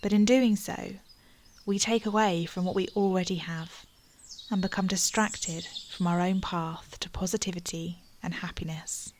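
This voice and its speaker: Soft-spoken woman guiding a meditation. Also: on the low side, fairly even intonation, a little hiss or hum. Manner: slow, soft and soothing, with breathy near-whispered phrases. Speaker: meditation guide. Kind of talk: guided meditation.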